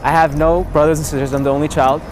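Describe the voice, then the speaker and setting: A man in his twenties from Indonesia, a native Sundanese speaker, telling his life story in a relaxed way, pausing often on fillers. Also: fairly high pitch, background noise. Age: 20s. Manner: relaxed, frequent filler pauses. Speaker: interviewee. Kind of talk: casual personal storytelling.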